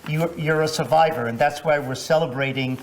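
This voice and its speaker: A man's voice: on the high side, brisk, a crowd in the background, poor audio. A man interviewing an older relative, speaking hesitantly with many fillers and prompting her along. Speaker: interviewer, family member. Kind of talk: family interview.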